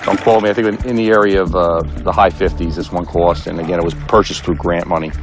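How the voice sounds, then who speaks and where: A middle-aged American man, a police officer explaining things informally in a halting, matter-of-fact way. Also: low-pitched, level tone, music in the background.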